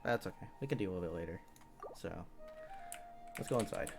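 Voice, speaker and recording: Male game streamer, deep voice, relaxed casual chat over light background music. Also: normal speed, creaky. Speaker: livestreamer.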